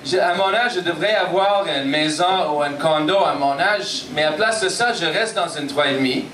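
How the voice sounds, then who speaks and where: Anglophone Montreal comic, high voice, roomy bar. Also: male voice, moderate pace, a bit bright, far from the mic, slight background noise. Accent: English-speaking Montreal. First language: English. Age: 30s. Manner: self-deprecating, punchy, frequent "ok?" check-ins. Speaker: stand-up comedian. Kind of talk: stand-up comedy set. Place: comedy bar stage.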